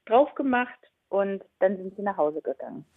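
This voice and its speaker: A woman's voice: mid-range voice, expressive, normal speed, slightly rough, dry recording. A woman interviewed over the phone, explaining things in plain conversational language as a guest. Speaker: interview guest, funeral director and grief counsellor. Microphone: telephone. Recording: band-limited phone call.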